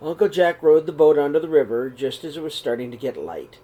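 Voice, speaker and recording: A middle-aged man reading a children's picture book aloud in a small room, slow and expressive. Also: a little high. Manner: reads slowly and expressively, voices the characters' calls. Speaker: storybook reader. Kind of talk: read-aloud storytelling for children.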